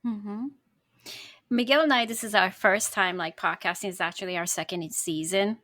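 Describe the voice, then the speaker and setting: A woman's voice: medium pitch, moderate pace, fairly bright tone, no echo. Friendly woman podcast host, dry sound. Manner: friendly, brief reactions. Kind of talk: casual interview chat.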